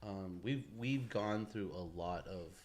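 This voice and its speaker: A man's voice: fairly low pitch, slow pace. A man co-hosting a podcast in casual, slangy conversation, addressing his friends in an informal way. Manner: informal, slangy, says "bro" and "man" often. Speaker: podcast co-host.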